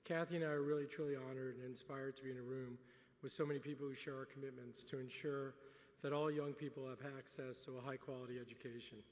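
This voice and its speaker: Middle-aged honoree at a podium, native French speaker, relaxed with dry humor, lots of ums, in a long-echo banquet hall. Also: male voice, medium pitch, fast talker. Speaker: gala honoree giving an acceptance speech. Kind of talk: speech at a gala dinner. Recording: reverberant hall.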